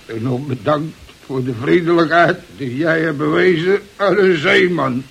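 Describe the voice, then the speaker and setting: A tipsy seafaring fellow with a very creaky, gravelly voice. He drawls along slowly, rambling and slurring like a jovial drunk. It is an old radio play with a faint hiss. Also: fairly high pitch, fairly bright tone, dull audio. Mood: mellow, tipsy. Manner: rambling, stammering, bursting into song. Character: drunken, jovial, rambling big sailor, tall tale teller.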